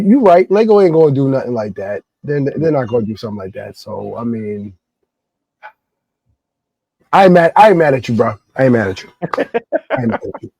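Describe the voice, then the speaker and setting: Raspy hoarse man with a dark voice. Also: mid-range voice, dry recording, muffled recording. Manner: laid-back slangy banter. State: hoarse from a cold. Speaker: podcast host. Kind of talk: casual chat.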